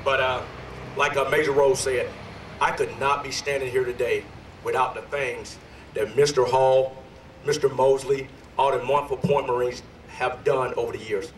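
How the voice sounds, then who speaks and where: A slightly hoarse man in his forties, a sergeant major, talking loosely and energetically with many fillers at an outdoor ceremony. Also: mid-range voice, steady tone, normal speed, slight background noise. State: a little hoarse. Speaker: sergeant major giving a history talk. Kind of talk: ceremonial speech. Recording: distant echoey PA outdoors.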